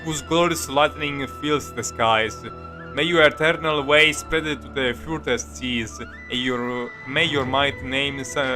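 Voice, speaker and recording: A male streamer with a mid-range voice talks casually over his gameplay. His delivery is halting and hesitant, and game music plays clearly behind him. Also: mid-range voice. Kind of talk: casual live commentary on gameplay. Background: video game music.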